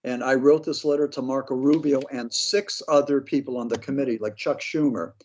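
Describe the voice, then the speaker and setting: A man's voice: mid-range voice, fairly even intonation, fairly quick, darkish tone, lo-fi sound. An American man talking casually as an interview guest, blunt, skeptical and slangy in his opinions. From Pennsylvania, United States. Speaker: interview guest, paranormal author.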